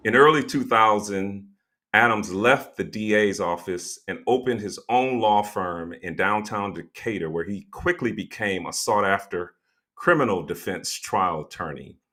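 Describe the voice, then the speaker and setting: A man's voice: on the low side, expressive, measured pace, sharp tone. A man hosting an interview show, a non-practicing attorney, speaking hesitantly with many fillers and restarts.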